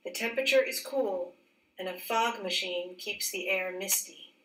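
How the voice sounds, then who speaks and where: A woman teacher reading a picture book aloud in a careful, expressive storybook manner. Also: medium pitch, normal speed. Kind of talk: read-aloud storybook narration. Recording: roomy echo, distant mic.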